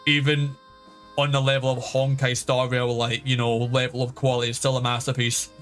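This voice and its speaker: A man's voice: mid-range voice, monotone, a little fast, fairly bright tone. A man chatting casually as a game streamer, rambling and swearing freely as he goes. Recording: music playing behind the voice. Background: background game music.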